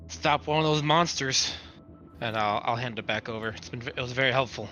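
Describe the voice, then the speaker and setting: Bright-voiced man at medium pitch. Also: fairly quick. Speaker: player in a tabletop roleplaying game. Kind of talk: casual in-character table talk.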